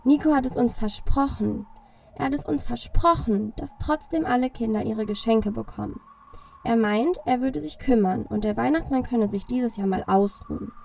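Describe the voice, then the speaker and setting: Creaky, grumpy little male elf played by a woman. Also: a little high, moderate pace, darkish tone, distant mic, slight background noise. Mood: sheepish.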